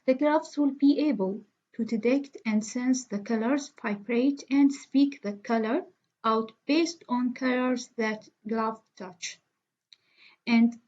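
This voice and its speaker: Husky, slow woman, dull recording. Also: on the high side, dark tone. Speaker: student project presenter. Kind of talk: scripted project introduction. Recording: dull, lo-fi microphone sound.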